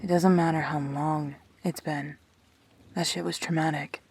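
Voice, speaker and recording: Actress as sardonic immortal bestie in audio drama, deep for a woman, husky, blunt and dry. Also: steady tone, a little slow, darkish tone, heavily acted. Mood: firm, reassuring. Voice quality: low, husky. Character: wry, teasing, protective immortal woman, sweary best friend. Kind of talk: audio roleplay drama acting.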